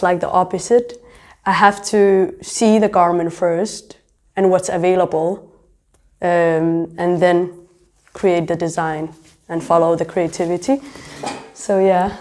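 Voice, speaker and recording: A young woman who grew up in Denmark speaks casually in an interview. Her voice is mellow and warm, sitting a little low, and she explains things reflectively. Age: young adult. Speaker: interviewee, fashion designer and entrepreneur.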